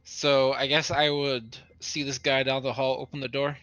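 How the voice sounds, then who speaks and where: A man's voice: medium pitch, little pitch movement, moderate pace, a bit bright, no room sound. A man playing a tabletop roleplaying game, talking casually and speaking for his character. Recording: dull, lo-fi voice audio.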